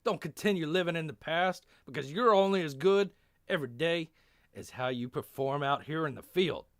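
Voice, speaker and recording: A man playing an earnest army lieutenant, speaking with a Texan accent in a forceful, folksy speechifying way. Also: on the high side, lively intonation, moderate pace, dry recording, clean studio sound, pitched above the performer's own voice. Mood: earnest, rousing.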